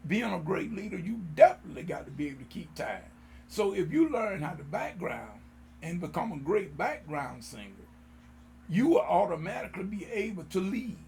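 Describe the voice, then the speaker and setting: An older man in his sixties with a gravelly, creaky voice that sits a little high for a man. He talks in a loose, rambling way, circling back and repeating phrases while he speaks to his viewers as a church choir director. A faint hiss runs under the recording. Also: a little mellow. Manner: rambling and folksy, repeats himself, addresses viewers as y'all. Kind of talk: casual talking-to-camera monologue.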